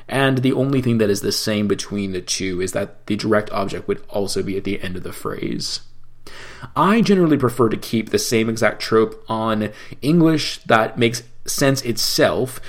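A man teaching how to chant scripture, explaining slowly and breaking into chanted phrases. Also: mid-range voice. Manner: slow, measured explanation between chanted phrases. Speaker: cantor teaching Torah chanting.

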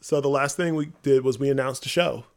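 Man with a creaky, casual voice. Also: medium pitch, steady tone, a little fast. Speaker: podcast host and playwright. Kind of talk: casual storytelling chat.

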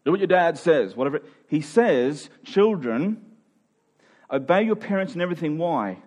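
A man in his forties preaching a sermon, earnest and emphatic, sometimes dropping to a quiet voice. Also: fairly high pitch, lively intonation, normal speed. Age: 40s. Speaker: pastor.

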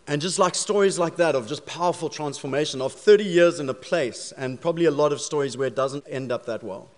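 A man's voice: fairly high pitch, a little fast, a little mellow. A man with a South African accent preaching a sermon in a church sanctuary, telling stories with humor before moving into prayer. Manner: storytelling, jokey then prayerful. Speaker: pastor. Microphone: handheld or stage microphone. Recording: long hall reverb in sanctuary.

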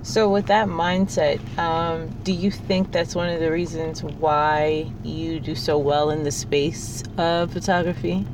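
A man's voice: fairly high pitch, little pitch movement, noisy background. A man interviewing a guest, asking short questions and giving brief affirmations. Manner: slow, brief prompts and affirmations. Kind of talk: casual interview.

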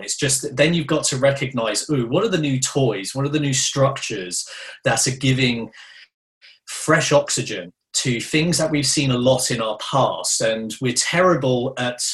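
A man from the UK with a mid-pitched voice, lecturing fluently as a panelist. He wanders into asides as he talks. His voice rings in an echoey room. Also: normal speed. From United Kingdom. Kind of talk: panel talk.

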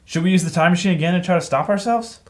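A man's voice: high-pitched, fast. A young man co-hosting a comedy podcast, playful and mocking, laughing often. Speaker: podcast co-host. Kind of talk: casual banter and comedy sketch.

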